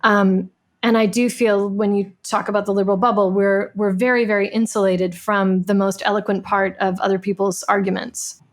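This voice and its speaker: A woman in a thoughtful discussion, phrasing her questions and points in a deliberate, articulate and emphatic way. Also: medium pitch, little pitch movement.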